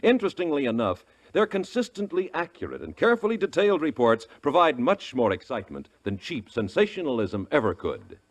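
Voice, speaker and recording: Very animated American radio announcer man. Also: a little high, a little fast, hardly any pauses. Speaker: network announcer. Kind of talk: scripted 1950s radio promo. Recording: vintage 1950s radio broadcast recording.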